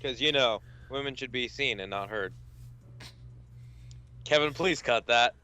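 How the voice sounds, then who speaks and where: Bright, clear-voiced man bantering. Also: mid-range voice, unhurried. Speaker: co-commentator. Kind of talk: casual joking banter.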